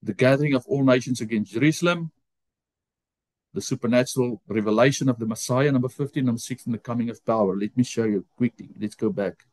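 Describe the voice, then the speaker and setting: A man with an Afrikaans accent, whose first language is Afrikaans, teaching a Bible college lesson over a video call. He reads scripture aloud slowly and often stops to correct himself. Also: medium pitch, darkish tone, no room sound, rough recording.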